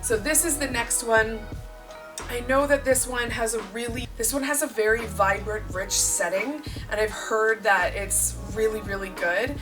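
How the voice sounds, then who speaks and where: A woman book vlogger chatting casually to camera, a little croaky after a cold, self-deprecating and rambling. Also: on the high side, unhurried. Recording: distant voice with clear music behind.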